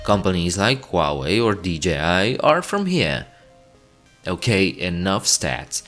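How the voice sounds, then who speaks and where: A low-voiced man reads an educational script with a lot of rise and fall in his voice. He breaks into chatty asides to the viewer now and then, with faint music behind him. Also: big pitch swings, takes it slowly, fairly bright tone. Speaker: channel host.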